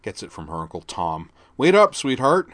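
A man voicing a gentle, weary father figure who speaks softly and apologetically. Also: medium pitch, wildly swooping pitch, normal speed, no room sound, pitched above the performer's own voice. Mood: apologetic. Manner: apologetic, soft, harried.

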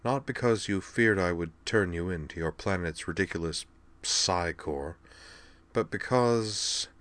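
A man performs a wounded alien crown princess for an audiobook. She is regal and wry, speaking softly with a dry rasp and formal, measured words. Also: deep voice, dry recording. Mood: calm, probing. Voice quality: raspy, dry.

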